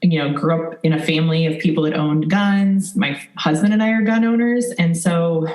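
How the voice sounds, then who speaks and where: Middle-aged Midwestern American woman, podcast guest, lowish voice, informal storytelling, roomy echo. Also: fairly quick, a little mellow. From rural southwest Iowa, United States. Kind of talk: casual interview talk. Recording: echoey room sound.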